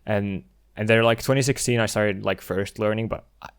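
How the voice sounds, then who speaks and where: Low-voiced Finnish man hosting a podcast. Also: a little fast, clean tone, dry recording, clean studio sound. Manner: relaxed, hesitant, many restarts and fillers. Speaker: podcast host. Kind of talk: casual chat.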